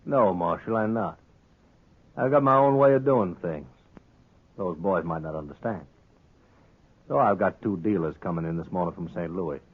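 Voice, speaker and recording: Sly saloon owner: low husky voice, brash and talkative, Western American accent, vintage radio western. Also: male voice, normal speed, long pauses, phone-quality sound. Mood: smug, easygoing. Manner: cocky, needling, talkative. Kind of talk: 1950s radio western acting. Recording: thin old radio broadcast recording.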